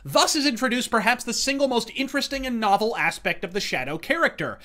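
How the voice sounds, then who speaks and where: A man's voice: very high-pitched, expressive, fast talker, bright, forceful tone, soft background music. A man hosting a scripted comedic commentary, delivering emphatic, profane, wordy rants as a reviewer. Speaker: host and reviewer.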